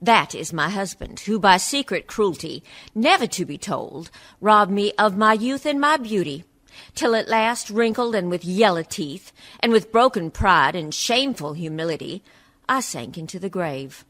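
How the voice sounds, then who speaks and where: A woman playing a bitter, wronged wife speaking from the grave in a measured radio play monologue. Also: medium pitch, lively intonation, fairly bright tone, lightly acted. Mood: bitter, mournful.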